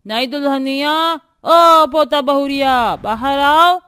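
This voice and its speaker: A woman's voice: high-pitched, slow, bright, forceful tone, no echo, clean studio sound, voice pushed up, heavily acted. A woman voicing a fault-finding grandmother of seventy-five in a cartoon, commanding and scolding. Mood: bellowing. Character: loud, bossy 75-year-old village grandmother-in-law, fault-finding.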